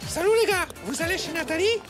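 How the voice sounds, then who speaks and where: A carefree cartoon schoolboy, playful and unbothered. His little voice is extremely high, with faint music underneath. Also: quick pace. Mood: cheerful, matter-of-fact. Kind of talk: animated comedy series acting.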